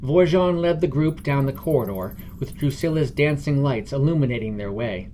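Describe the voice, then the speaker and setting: A man's voice: mid-range voice, a little mellow, slight background noise. A man reading scripted narration for a fantasy adventure podcast.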